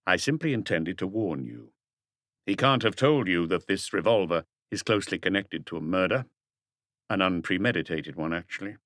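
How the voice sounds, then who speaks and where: A man voicing a shrewd police detective in an audiobook, speaking in a quiet, casual, measured way. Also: low voice, dry recording, studio quality. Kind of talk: audiobook character dialogue.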